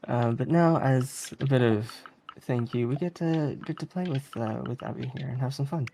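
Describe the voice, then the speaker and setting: A speedrunner with a dark, mellow voice chats casually through live game commentary. The delivery is easygoing and giggly, over faint noise and clicking. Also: mid-range voice, normal speed, creaky. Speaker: speedrunner playing a video game.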